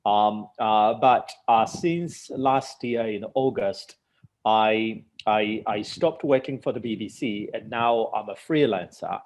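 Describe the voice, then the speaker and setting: A man's voice: fairly low pitch, slow. A Tanzanian man whose first language is Swahili, a journalist answering interview questions with many fillers and restarts. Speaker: journalist guest.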